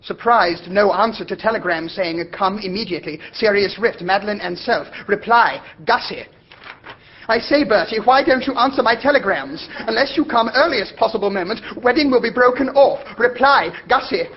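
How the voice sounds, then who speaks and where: A man playing a fretful young man, high voice. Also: lively intonation, normal speed. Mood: anxious. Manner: clipped telegram-style delivery. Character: anxious, fussy young man reading telegrams. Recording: dull lo-fi recording with faint rumble.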